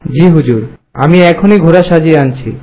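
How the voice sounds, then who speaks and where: A man playing a flattering, hesitant royal minister in an animated folk tale, speaking obsequiously. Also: on the high side, a little fast, close to an everyday voice, rough recording. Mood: obedient. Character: deferential, flattering royal minister serving a king.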